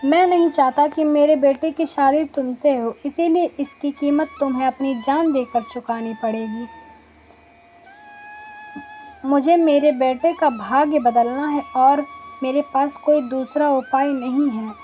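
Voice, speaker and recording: Woman doing a scheming village chief from a story, measured and persuasive, high voice, music behind. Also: warm tone. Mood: grimly resolved. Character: scheming male village headman, falsely reassuring.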